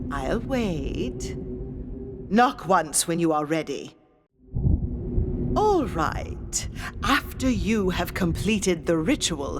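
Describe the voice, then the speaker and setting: An imperious female ghost medium from a spooky audio drama. Her delivery is slow and deliberate, with sweeping, dramatic rises and falls. She speaks formally and mysteriously, commanding and teasing, over noticeable background ambience. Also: medium pitch, noisy background. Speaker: séance guide leading a card ritual. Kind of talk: scripted theatrical audio drama narration.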